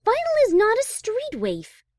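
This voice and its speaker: A woman acting a haughty, easily exasperated high-class pony in a fan audio drama, prim and wordy. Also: wildly swooping pitch, a little slow, a little mellow, dry recording, clean studio sound, full character voice. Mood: indignant.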